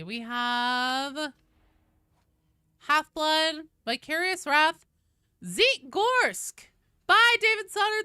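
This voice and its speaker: A woman's voice: sits high, bright, forceful tone, no echo, clean studio sound. A woman hosting a game stream, chatting casually and reading out viewer comments in informal, slangy language.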